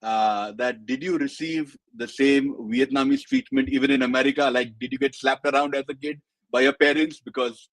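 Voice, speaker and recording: A man with an Indian accent hosting a casual live-stream interview, haltingly searching for his words. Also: mid-range voice, a bit bright, no echo, low-quality recording. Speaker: podcast host.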